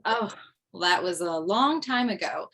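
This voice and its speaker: A woman's voice: medium pitch, animated, measured pace, fairly bright tone. A woman reading the part of a wistful widowed mother and former comedian at an online table read, hesitant and wry. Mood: surprised, wistful.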